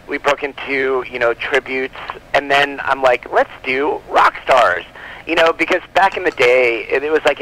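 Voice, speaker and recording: A man's voice: mid-range voice, sharp tone. A man being interviewed over the telephone, talking informally and rambling with frequent asides. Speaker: interview guest, comic book publisher. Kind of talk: casual phone interview. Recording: telephone call.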